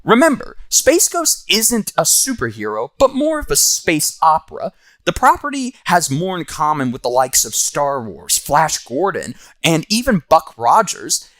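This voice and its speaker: A man's voice: a little high, fairly bright tone, dry recording. A man hosting and narrating a scripted comic book review.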